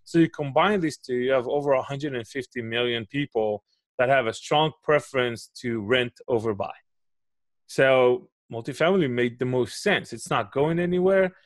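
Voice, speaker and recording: A man's voice: medium pitch, normal speed, no echo. A man in his forties from Israel with an Israeli accent, a guest explaining his views on a video call and often checking in with "right?". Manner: explanatory, makes a point then asks "right?". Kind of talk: interview answers. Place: home office. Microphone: headset with studio mic on a video call.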